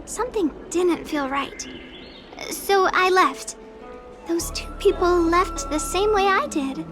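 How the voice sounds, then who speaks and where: A woman's voice: very high-pitched, takes it slowly, music in the background. A woman voicing a mild, bookish young shopkeeper in a video game, hesitant and apologetic. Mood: recalling. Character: mild, bookish young female bookshop keeper. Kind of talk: video game character dialogue.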